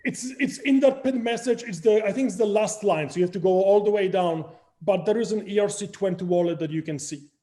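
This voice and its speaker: A man's voice: sits high, a little fast, few pauses. A man in his forties with a Polish accent, explaining his project energetically on a video call from a home room. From Poland. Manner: energetic, explains with hand gestures. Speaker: crypto project founder, guest. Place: home room with framed art and plants. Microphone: video call, webcam.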